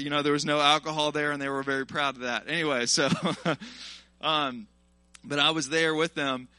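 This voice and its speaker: Male preacher, early 40s, high forceful voice, colloquial and exhorting, informal live sermon. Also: no room sound. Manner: animated, colloquial, exhorting the listeners. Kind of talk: informal sermon to a live congregation, with personal testimony.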